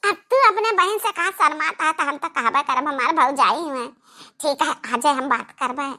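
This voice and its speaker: A performer plays a sly young sister-in-law in a cartoon comedy. The voice is high and bright, scheming and boastful, with an Awadhi accent. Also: moderate pace, full character voice. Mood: gloating. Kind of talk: exaggerated cartoon comedy acting.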